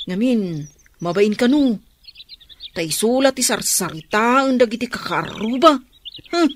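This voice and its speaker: An actress playing a frank, caring young friend who comforts and scolds expressively in a radio drama. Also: female voice, fairly high pitch, a bit bright, slight background noise.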